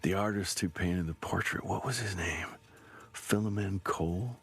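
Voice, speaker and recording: Casting: gruff game monster hunter, extremely low pitch, husky rasp, dry and musing. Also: male voice, moderate pace, a bit bright, heavily acted. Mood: wry, musing. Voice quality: gravelly, husky. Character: gruff, dry-humoured male vampire-hunting field agent.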